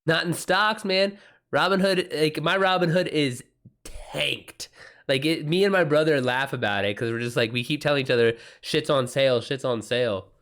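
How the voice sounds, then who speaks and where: A man's voice: fairly high pitch, moderate pace, a bit bright. Casual American man chatting on a livestream. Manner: informal, slangy, reacting aloud to chat. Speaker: livestream host and self-published author. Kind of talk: casual livestream chat, answering viewer comments.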